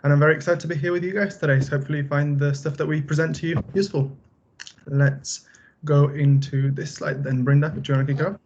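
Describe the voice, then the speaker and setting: A young man from Bulgaria, a final-year student, giving a relaxed, conversational talk with many fillers. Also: fairly high pitch, quick pace, lo-fi sound. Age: young adult. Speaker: student presenter. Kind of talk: casual presentation.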